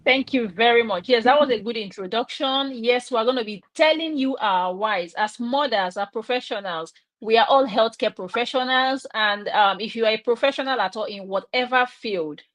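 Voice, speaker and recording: A woman's voice: a little high, normal speed, few pauses, fairly bright tone, dry recording. A woman from Nigeria hosting a live online chat, upbeat and inviting.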